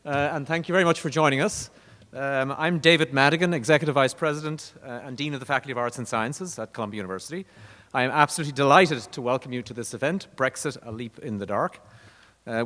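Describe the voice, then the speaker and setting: A middle-aged man with an Irish accent, a university dean giving formal introductory remarks, measured and dotted with fillers. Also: medium pitch, a bit bright. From Ireland. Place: university lecture hall. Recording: long reverb of a lecture hall.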